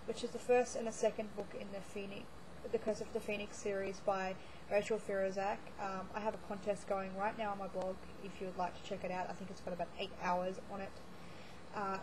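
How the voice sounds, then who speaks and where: A woman's voice: mid-range voice, darkish tone, slight background noise, rough recording. Chatty woman losing her voice. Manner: chatty, rambling, lots of fillers and restarts. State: starting to lose her voice. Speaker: book blogger. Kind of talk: casual monthly reading wrap-up vlog.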